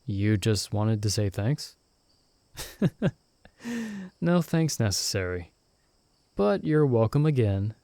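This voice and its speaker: A young man voicing a loyal friend stranded in another world, who talks in a soft-spoken, gently teasing and reassuring way in an ASMR roleplay recorded close to the mic. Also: on the low side, sing-song, slow, pauses often, dry recording, full character voice. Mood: gentle, reassuring.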